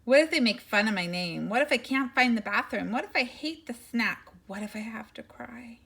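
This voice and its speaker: A woman plays an anxious little girl mouse in a storybook. The voice is high and fretful, pressing out each worry with emphasis. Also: lively intonation, normal speed, vocal fry, pushed and bright, breathy edge, exaggerated voice. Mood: worried. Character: anxious little girl mouse who frets over everything.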